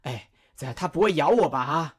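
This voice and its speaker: A woman playing Cao Cao, a weary, lonely middle-aged warlord, speaking slowly with heavy sighs in an audio drama. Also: deep voice, expressive, slightly rough. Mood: wistful. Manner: slow, heavy sighing delivery.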